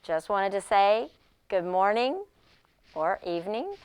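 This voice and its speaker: Woman, pre-K teacher, very lively intonation, unhurried pace, expressive read-aloud delivery for young children. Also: mid-range voice.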